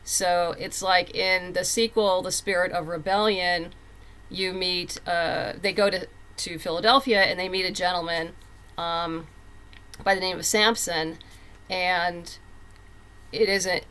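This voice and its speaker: Low-voiced woman chatting as a guest. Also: steady tone, a little slow, a bit bright. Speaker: comic book writer and guest. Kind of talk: conversational interview talk.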